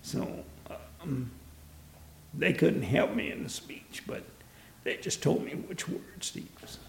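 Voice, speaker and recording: An older man with a gravelly, strained voice, telling his story in an animated, laughing way. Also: a little high, takes it slowly, echoey room, a little hiss or hum. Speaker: interviewee, museum founder. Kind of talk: storytelling interview. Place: his museum, indoors.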